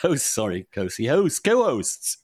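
A middle-aged man hosting a podcast in a chatty, unscripted way, halting and repeating words as he goes. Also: mid-range voice, huge pitch swings, a little mellow, husky, no room sound, studio quality. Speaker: podcast host.

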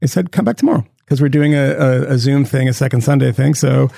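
A man with a gravelly, creaky voice at an everyday pitch hosts a podcast. He talks in loose, joking, rambling banter. His voice carries a rough fry. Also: quick pace, mellow. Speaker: podcast host. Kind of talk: casual chat.

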